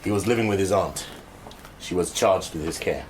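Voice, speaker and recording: A man's voice: sits low, normal speed, slight background noise, close to an everyday voice. An actor playing a reserved engineer and father searching for his son in a radio drama, speaking in a terse, formal, guarded way.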